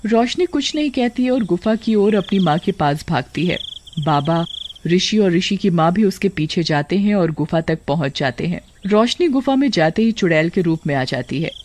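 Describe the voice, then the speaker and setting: A man's voice: sits high, lively intonation, moderate pace, darkish tone, slight background noise. A man reading scripted horror story narration as a storyteller.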